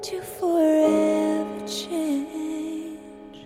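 Female singer, very high register, drawn-out lullaby phrasing, full musical backing. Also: completely flat delivery. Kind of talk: slow, lullaby-like nativity song. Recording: singing over prominent music.

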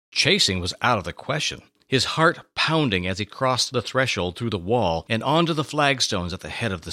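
A man narrating a scripted story in a solemn, expressive, dramatic way on a close microphone. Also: medium pitch, dry recording. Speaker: show host and story narrator.

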